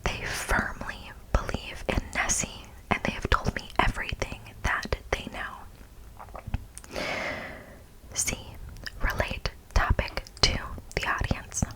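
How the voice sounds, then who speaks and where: An American woman whispers her way through an ASMR reading of a prepared speech. She takes it very slowly and deliberately. Now and then she turns to the listener with a playful aside, keeping the same hushed delivery. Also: rough recording. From United States. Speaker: ASMR host.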